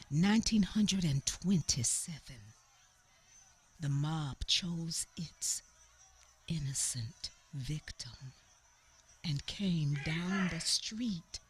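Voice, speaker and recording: A woman playing a grieving eyewitness in a radio theater monologue, telling her story haltingly and repeating phrases for emphasis. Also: medium pitch, a bit bright, a little hiss or hum, full character voice. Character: grieving female eyewitness recounting a mob lynching in anguish.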